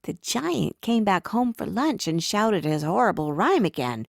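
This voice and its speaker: A woman narrating a scripted bedtime story for young children in an expressive way, telling it as the main character. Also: mid-range voice, measured pace, gravelly, no room sound, studio quality.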